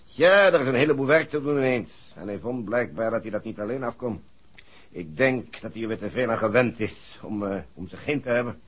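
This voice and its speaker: An actor playing an affable, gossipy and slightly evasive assistant in a 1960s Dutch radio play, speaking hesitantly with a Netherlands accent. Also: male voice, medium pitch, expressive, fairly quick, slightly rough. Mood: confiding. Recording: dull, muffled 1960s radio recording.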